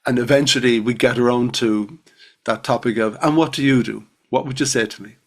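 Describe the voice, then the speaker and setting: Middle-aged male podcast host, mid pitch, informal and jokey interviewer. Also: brisk. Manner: jokey and informal, tells anecdotes.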